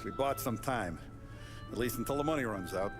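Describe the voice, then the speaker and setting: A commanding mob boss, voiced by a man in a crime game, with measured, decisive speech. His mid-pitched voice moves lively through its range. Music plays clearly underneath. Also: natural voice. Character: calm authoritative older male mob boss. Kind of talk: 1930s gangster game dialogue.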